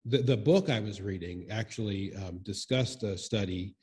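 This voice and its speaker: A man giving informal, reflective comments in a group discussion, speaking in a halting, thoughtful and tentative way. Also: fairly low pitch, darkish tone. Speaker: congregation member commenting in church discussion.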